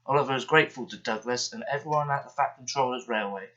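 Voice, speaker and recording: A man reading a children's bedtime story aloud in an expressive, steady manner. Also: medium pitch, fast, lo-fi sound.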